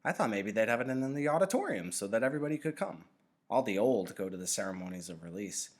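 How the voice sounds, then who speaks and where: A man's voice: on the low side, very animated, fast. A man voicing a curious, naive and eager boy apprentice in a storybook, earnest and chatty.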